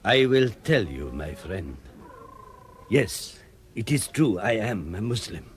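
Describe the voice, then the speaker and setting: An actor playing a proud, resolute Egyptian police inspector in a radio drama, speaking with formal, solemn phrasing. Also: male voice, on the low side, very animated, takes it slowly.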